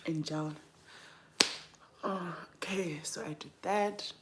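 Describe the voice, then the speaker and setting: A young South African woman hosts a casual livestream in a slightly low voice. She is chatty and informal, thinking aloud as she goes and often letting sentences trail off before picking up a new one. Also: fairly even intonation, drawn-out words, heavy background noise. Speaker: livestream host. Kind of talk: casual chat while doing makeup.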